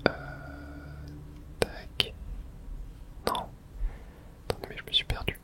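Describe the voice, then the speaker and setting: A whispering ASMR gamer of unclear sex. The delivery is unvoiced and close to the microphone, commenting on play step by step. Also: takes it slowly. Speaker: gaming ASMR streamer. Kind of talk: whispered ASMR gameplay commentary, reading game subtitles aloud.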